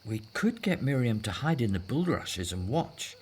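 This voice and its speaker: A man's voice: mid-range voice, big pitch swings, normal speed, husky. An actor in a scripted audio drama playing a devout Hebrew husband and father, earnest and persuasive. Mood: reassuring, persuasive.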